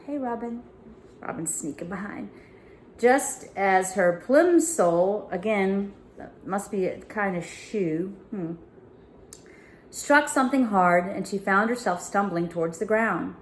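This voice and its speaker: A woman's voice: medium pitch, a little hiss or hum. A woman reading a story aloud like a teacher, expressively, with casual asides and self-corrections. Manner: expressive, pausing to correct and comment. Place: home sunroom. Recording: roomy indoor echo.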